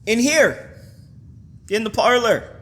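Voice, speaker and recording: Menacing tormentor acted by a man: high male voice, slow, taunting, radio-play delivery. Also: expressive, fairly bright tone, exaggerated voice. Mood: beckoning. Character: menacing, teasing brother-in-law stalking a woman. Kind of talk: old-time radio horror drama acting.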